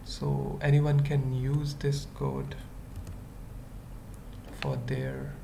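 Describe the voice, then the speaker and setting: A tutorial presenter thinking aloud in halting, murmured fragments during an informal coding screencast. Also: mid-range voice, little pitch movement, creaky, dark tone, a little hiss or hum. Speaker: web development tutorial presenter.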